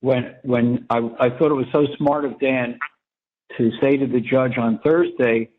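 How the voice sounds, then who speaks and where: A man's voice: medium pitch, steady tone, a little slow. An older man talking casually on a private phone call. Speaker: father of the inmate on the call. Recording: tinny, narrow phone line.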